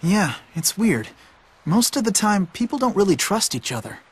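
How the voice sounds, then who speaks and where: A man's voice: sits high, sing-song, moderate pace, slightly rough, full character voice. A man voicing a streetwise, cynical boy in a video game, blunt and matter-of-fact, like a bossy older friend. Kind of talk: video game cutscene voice acting.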